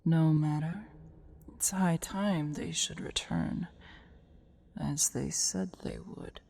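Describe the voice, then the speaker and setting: Woman voicing a slow, breathy, haughty dragoness. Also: low voice, fairly even intonation, mellow, exaggerated voice. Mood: disdainful, brooding. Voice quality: breathy, half-whispered, creaky. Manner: slow, imperious muttering. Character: haughty female dragon brooding over her treasure hoard. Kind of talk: ASMR roleplay.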